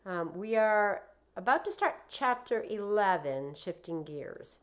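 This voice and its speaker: A woman reading aloud like a teacher to children, expressive and deliberate in her delivery. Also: medium pitch. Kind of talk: read-aloud with explanations and questions for children.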